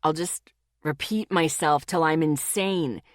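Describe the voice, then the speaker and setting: A woman voicing a husky, grumbling young man who teases his girlfriend in an audiobook. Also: unhurried, dry recording, clean studio sound. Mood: teasing. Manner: grumbling, low and teasing. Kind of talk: audiobook character voice.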